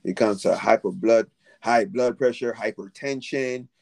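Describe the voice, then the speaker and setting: A man's voice: fairly low pitch, a little slow, creaky. Haitian-born man hosting a casual interview. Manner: warm, affirming, calls guest darling. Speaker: podcast host.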